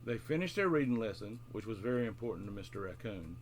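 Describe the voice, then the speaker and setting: A man's voice: on the low side, sing-song, quick pace. Man reading to children, noisy recording. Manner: addresses young children directly. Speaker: host of a children's bedtime story show, author. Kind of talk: friendly introduction, then read-aloud children's storytelling.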